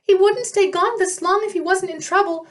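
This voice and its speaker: A woman's voice: extremely high pitch, normal speed, voice pushed up. A woman voicing a young female tree nymph, tearful and fiercely loyal, pleading with emphasis in a storybook reading. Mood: tearful, worried.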